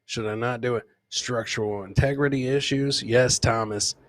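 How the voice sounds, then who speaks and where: A man's voice: medium pitch, fairly even intonation, normal speed, slight background noise. A man hosting a live comedy show, commenting on clips casually and informally, repeating his lines like a showman. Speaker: podcast host.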